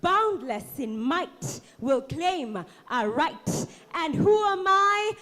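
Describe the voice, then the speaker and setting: A woman performing spoken word on stage, declaiming with passion, dramatic pauses and repetition. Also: very high voice, very animated, fairly bright tone.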